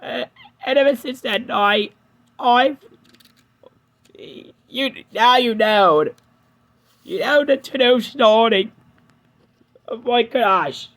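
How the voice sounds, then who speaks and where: A man voicing a touchy, hurt male steam engine in a fan-made cartoon dub, shaky and prone to yelling. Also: drawn-out words, pauses often, heavily acted. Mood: distressed. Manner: shaky, high-strung, bursts into yelling.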